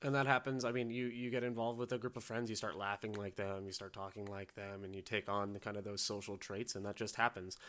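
A man with a fairly low voice hosts a relaxed podcast conversation. He speaks in a measured, thoughtful way, building his points slowly and affirming his guest as he goes. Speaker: podcast host. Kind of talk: casual conversation.

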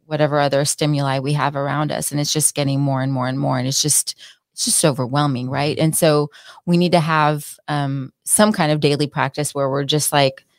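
A woman's voice: low voice, steady tone, fairly quick, no room sound, studio quality. A woman speaking as a wellness expert guest in a casual, reflective, earnest interview chat. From born in the United States, partly raised in Israel.

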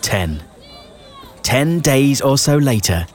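A man narrating a rhyming children's bedtime story in a measured storytelling delivery with deliberate pauses. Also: medium pitch, big pitch swings, a crowd in the background, noisy background. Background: festive music and sound effects.

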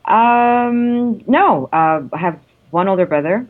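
A woman in her thirties from Canada, a guest actress answering interview questions in a reflective way with many pauses and ums. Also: mid-range voice, lively intonation. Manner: warm, hesitant, lots of ums. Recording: tinny phone-like line.

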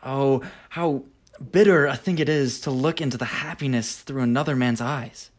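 A man as a sincere lovesick young gentleman. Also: medium pitch, moderate pace, natural voice. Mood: lovesick, wistful. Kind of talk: Shakespearean radio play acting.

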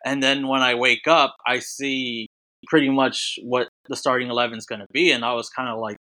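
A man with a bright, forceful voice talks football as a podcast guest. He speaks in a halting way, restarting phrases and leaning on fillers. The sound is close and dry, with no room around it. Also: mid-range voice, fairly even intonation, dry recording, poor audio. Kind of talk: casual sports podcast chat.

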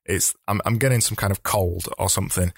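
A man hosting a solo advice podcast, talking in a chatty, explanatory way, slightly hoarse as he loses his voice. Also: sits low, fairly quick, no echo, studio quality. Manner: chatty, explanatory, with frequent restarts. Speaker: podcast host.

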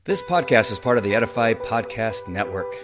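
A man reading a scripted podcast network promo in a smooth, polished announcer delivery. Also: fairly low pitch, lively intonation, a little fast. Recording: promo with loud music bed. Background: loud music.

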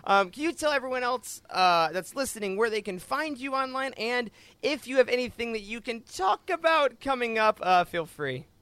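A man's voice: extremely high pitch, expressive, normal speed, fairly bright tone, clean tone, no echo. A man hosting a casual interview, enthusiastic and emphatic, repeating words as he gushes. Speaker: podcast host.

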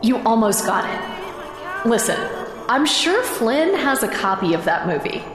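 An actress playing a patient, encouraging woman in an audio drama, coaching a magic learner gently and informally. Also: on the high side, big pitch swings, measured pace. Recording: echoey reverb over music.

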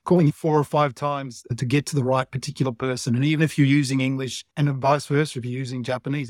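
A man as a guest speaker on a casual panel, talking haltingly and repeating words. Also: medium pitch, no room sound, studio quality.

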